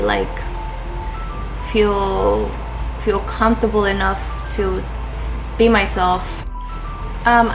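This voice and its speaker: A woman's voice: medium pitch, rough recording. A young woman from Chile talking hesitantly about her personal life in a vlog, with lots of fillers and restarts. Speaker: vlogger.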